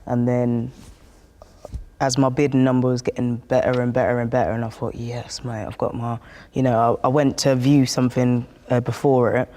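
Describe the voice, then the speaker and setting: A man's voice: medium pitch, darkish tone. A man with a British accent chatting casually and telling stories in a colloquial way, acting out remembered exchanges. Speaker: housing tenant interviewing another tenant. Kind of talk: casual personal storytelling and chat.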